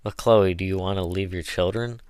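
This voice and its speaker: Man reading as a composed, low-voiced genteel lady. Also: fairly even intonation, a little mellow, clear, resonant tone, no room sound, studio quality, natural voice. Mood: questioning. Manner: proper, measured wording. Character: composed, kindly genteel married lady, mistress of house. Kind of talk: audiobook character voice.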